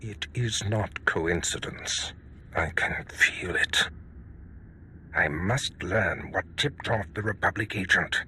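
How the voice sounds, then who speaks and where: An actor playing a paranoid, commanding Sith lord in a video game, speaking gravely and formally. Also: male voice, low-pitched, slow pace, bright, forceful tone, slight background noise, exaggerated voice. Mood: brooding, ominous. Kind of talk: dramatic video game acting.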